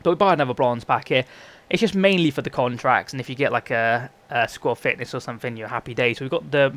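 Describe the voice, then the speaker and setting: A young man talks quickly through his football game footage, often stopping to correct himself. His voice sounds phlegmy and congested from a cold. His pitch sits in an ordinary middle range. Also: fairly bright tone. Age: young adult. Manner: chatty, rambling, frequent self-corrections. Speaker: gaming YouTuber. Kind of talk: casual gameplay commentary.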